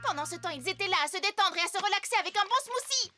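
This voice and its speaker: A woman's voice: very high-pitched, animated, rapid-fire. A woman voicing a frank, bubbly young animal-girl in a cartoon dub, exclamatory and pointed in delivery. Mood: indignant. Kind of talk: French cartoon dubbing. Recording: loud music behind the voice.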